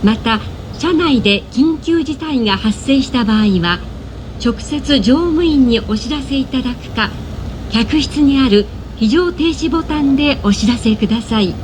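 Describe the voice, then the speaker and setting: A woman reading a scripted train announcement in a polite, formal, measured manner. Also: sits high, animated. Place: inside a moving bullet train. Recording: noisy train cabin, announcement over speakers. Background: train running noise.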